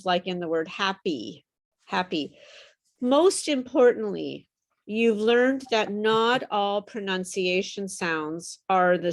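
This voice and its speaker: Slow, patient woman teaching pronunciation. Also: fairly low pitch. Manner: patient, encouraging, explains with repeated example words. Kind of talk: online group pronunciation lesson.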